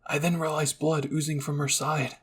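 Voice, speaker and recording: A man's voice: a little high, little pitch movement, moderate pace, breathy edge. A man narrating scripted fan fiction as a channel host, in a dramatic ASMR roleplay style.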